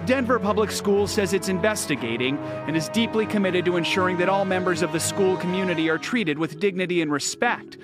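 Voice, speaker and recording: A man with a high-pitched voice narrates a scripted news report. Music plays clearly behind his reading. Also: a little fast, few pauses.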